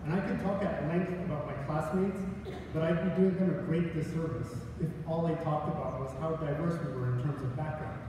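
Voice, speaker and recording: A man's voice: a little high, fairly even intonation, brisk, noisy background. A man giving a valedictorian speech at a graduation, earnest with humorous asides. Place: large hall. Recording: echoey hall from far off.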